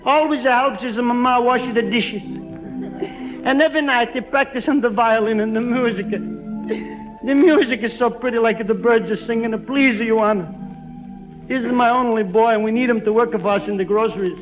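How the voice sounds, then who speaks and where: A pleading Italian papa in a vintage radio comedy. His voice sits very high, his English is broken and his manner gentle and simple. The sound is that of an old 1940s broadcast. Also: normal speed, dull audio, music in the background.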